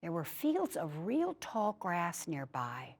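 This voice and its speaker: Low-pitched woman reading a story aloud. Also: wildly swooping pitch, slow pace, husky. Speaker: story reader. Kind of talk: reading a personal childhood memoir aloud.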